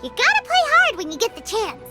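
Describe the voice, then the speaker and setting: A woman voicing a tiny, cheeky, childlike fairy companion in a video game, perky, with loud music behind her. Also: very high voice, huge pitch swings, brisk, heavily acted. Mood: cheerful, reassuring. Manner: perky, speaks of herself in third person. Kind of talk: English video game voice acting.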